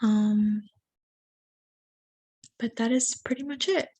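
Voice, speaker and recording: A woman in her twenties from Miami, whose first language is Spanish, playing a client in a practice counseling session and speaking haltingly with many fillers. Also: a little high, dark tone. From Miami, USA. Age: 20s. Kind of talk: mock counseling intake conversation.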